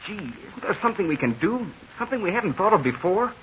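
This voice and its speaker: A man's voice: high voice, sing-song, fast, a bit bright. An eager teenage boy sidekick. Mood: anxious. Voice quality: eager, wide-eyed exclamations. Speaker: boy sidekick in a radio serial. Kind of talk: 1940s radio acting. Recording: hissy, muffled 1940s radio transcription.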